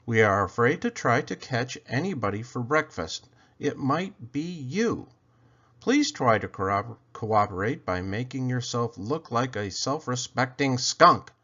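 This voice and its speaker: A man's voice: medium pitch, very animated, measured pace, bright, forceful tone, dull audio, heavily acted. A man reading as formal, desperate forest predators making a pompous complaint in a children's storybook. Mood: formal, aggrieved. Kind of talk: read-aloud children's storybook.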